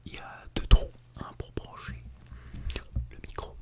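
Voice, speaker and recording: ASMR performer for a classroom role-play: fully whispered, breathy, gently instructive with light playfulness, close-miked in binaural. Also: measured pace. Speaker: ASMR artist role-playing as a teacher at an ASMR school. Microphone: close Blue Yeti microphone in binaural mode.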